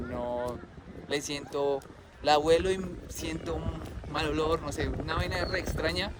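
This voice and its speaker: A young Colombian man with a slightly high voice chats to camera in a rambling, easygoing way, often stopping to correct himself. He is recorded outdoors in a city, with soft music playing underneath. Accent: Colombian Spanish. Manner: chatty, rambling, self-correcting. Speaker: vlogger. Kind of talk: casual talk to camera.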